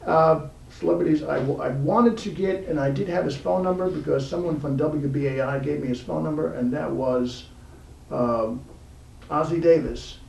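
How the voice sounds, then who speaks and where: A man hosting an informal interview show, speaking haltingly with frequent pauses mid-sentence. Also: a little high, gravelly, a little mellow. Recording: roomy, distant mic, faint background noise.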